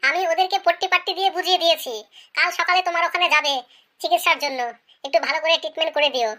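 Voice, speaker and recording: A woman's voice: very high voice, fairly even intonation, rapid-fire, pushed and bright. A woman playing a chatty, pleading and scheming village woman in a cartoon comedy. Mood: scheming, bossy.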